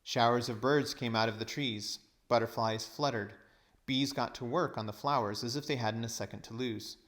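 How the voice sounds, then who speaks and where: A man reading a storybook aloud as narrator, with expressive, deliberate phrasing. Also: medium pitch.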